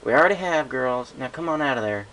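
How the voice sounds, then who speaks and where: A man's voice: medium pitch, expressive, fast, pushed and bright, pitched above the performer's own voice. A man voicing a protective big-sister pony, a direct, folksy country mare, reading a story. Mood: firm scolding. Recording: noisy home recording.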